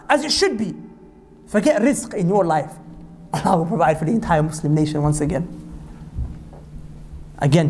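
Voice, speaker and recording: A man from Pakistan delivering an impassioned sermon as a preacher, reciting scripture quickly and climbing to high emphatic peaks. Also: darkish tone, slight background noise. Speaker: Islamic preacher.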